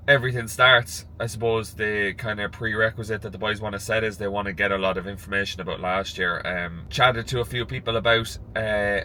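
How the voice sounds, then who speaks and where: Croaky Irish man vlogging with a cold. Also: fairly low pitch, a little hiss or hum, rough recording. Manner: chatty, rambling, relaxed. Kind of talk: casual vlog talk to camera.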